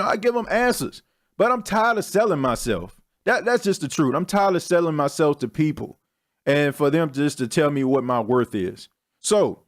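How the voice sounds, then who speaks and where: A young man hosting his own podcast, talking in a candid, slangy, self-mocking way as a casual solo monologue. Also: fairly high pitch. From Texas. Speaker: podcast host.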